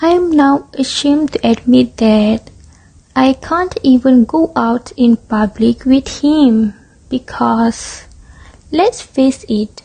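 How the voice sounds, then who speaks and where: Guarded, vain young date for amateur radio drama, female actor: high voice, slow, restrained delivery. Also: gravelly, mellow, slightly rough, full character voice, poor audio. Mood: ashamed, confessing.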